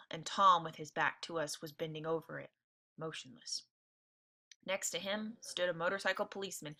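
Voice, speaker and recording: A woman's voice: fairly low pitch, bright, forceful tone. A woman teacher reading a novel aloud expressively, breaking off for informal explanatory asides. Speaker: teacher reading along with listeners.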